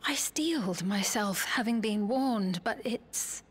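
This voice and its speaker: An actress playing a gentle, formal young queen in a video game, speaking in measured, earnest, archaic phrases. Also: medium pitch, animated, slightly rough. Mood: sorrowful. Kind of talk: scripted video game cutscene acting.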